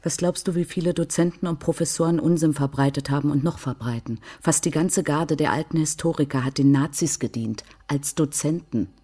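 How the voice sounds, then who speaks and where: A young radical student in a radio crime drama. Her voice sits low, and she talks bluntly, with a sardonic, guarded and defiant edge. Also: brisk, hardly any pauses, warm tone, slightly rough. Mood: indignant. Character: defiant, guarded young left-wing female history student. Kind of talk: naturalistic radio drama dialogue.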